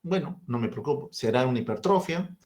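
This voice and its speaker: A man's voice: medium pitch, expressive, fairly quick, a little mellow. A man in his fifties with a Peruvian Spanish accent, giving a medical lecture and asking and answering his own questions. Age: 50s.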